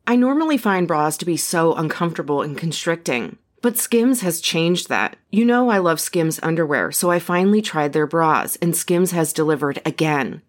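A woman with a low voice delivers a podcast advert. It is worded as a personal testimonial about a product. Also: lively intonation, moderate pace, hardly any pauses. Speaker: ad reader.

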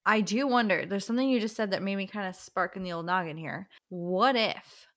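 Playful, dramatic woman podcaster, mid-pitched. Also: brisk, fairly bright tone. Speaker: podcast co-host. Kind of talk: casual chat about books.

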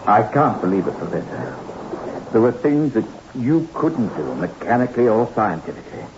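An actor playing a shaken, sceptical science lecturer in a 1960s radio drama, with hesitant, educated delivery. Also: male voice, medium pitch, big pitch swings, normal speed, darkish tone, lightly acted. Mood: astonished, disbelieving. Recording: muffled, noisy old radio recording.

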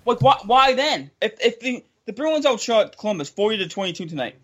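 A man's voice: high voice, very animated, a little fast. A young man hosting a casual sports chat, frustrated and incredulous, repeating himself as he complains. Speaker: podcast host.

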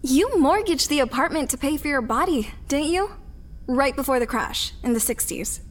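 A woman's voice: high-pitched, animated, moderate pace. An actress playing a bitter, guarded working mother in a sci-fi audio drama, blunt, sardonic and suspicious. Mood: accusing. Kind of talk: audio fiction acting.

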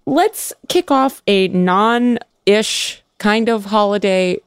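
A woman's voice: mid-range voice, slow pace, clear, resonant tone, no room sound, clean studio sound. A woman co-hosting a casual comedy podcast, playful with emphatic exclamations. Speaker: podcast co-host.

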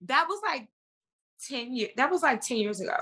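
Bright-voiced woman, informal podcast guest. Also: sits high, animated, moderate pace, breathy edge. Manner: informal, slangy. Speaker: podcast guest, actress and business owner. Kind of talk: casual chat.

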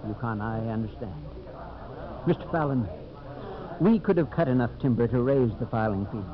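An actor playing a dignified, principled leader of forest settlers in a 1950s radio drama, with a solemn, formal delivery. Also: male voice, medium pitch, expressive, moderate pace, a crowd in the background, close to an everyday voice. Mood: grave, reproachful. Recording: muffled 1950s radio broadcast, audience sounds.

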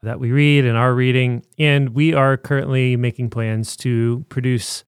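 A man co-hosting a chatty podcast, making an upbeat pitch to listeners at a middling pitch. His voice is dry and close, with no room sound around it. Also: fairly even intonation, a little slow, clear, resonant tone, dry recording. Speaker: podcast co-host. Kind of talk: casual podcast chat.

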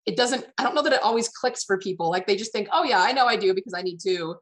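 A woman's voice: medium pitch, fast. A woman hosting a casual interview in a chatty, affirming, conversational way. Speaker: interview host, author.

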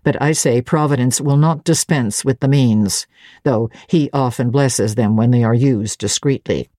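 A woman narrator plays a middle-aged servant woman from a classic storybook. Her voice sits very low and dark, with a husky edge. She is stolid and demure, measuring out each phrase with unruffled, secretive composure. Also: a little slow, no room sound, clean studio sound. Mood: stolid, composed. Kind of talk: dramatic audiobook reading.

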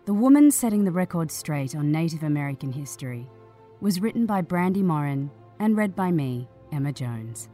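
A woman reading a long-form article in a measured, serious scripted narration. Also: low voice, sing-song, dark tone, soft background music. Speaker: article reader.

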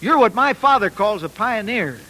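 A man's voice: sits high, expressive, moderate pace, pushed and bright, full character voice, poor audio. A man playing an eager, polite, naive young musician, earnest and bashful, in 1940s radio drama style. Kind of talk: 1940s radio acting and narration. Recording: old 1940s radio broadcast.

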